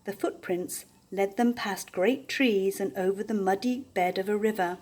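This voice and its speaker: An older woman reading a children's picture book aloud with deliberate, theatrical expression. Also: mid-range voice, darkish tone.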